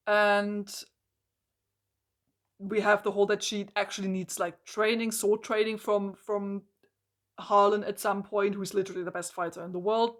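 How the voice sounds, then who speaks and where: Hoarse woman ranting about a book. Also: mid-range voice. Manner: exasperated, emphatic ranting with frequent "like" and restarts. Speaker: book reviewer.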